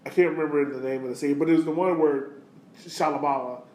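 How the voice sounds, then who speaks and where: A man with a slightly high-pitched voice co-hosts a sports podcast in a casual, conversational way. The recording is clearly roomy and echoey, with some light noise in the background. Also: fairly even intonation, fast talker, warm tone. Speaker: podcast host.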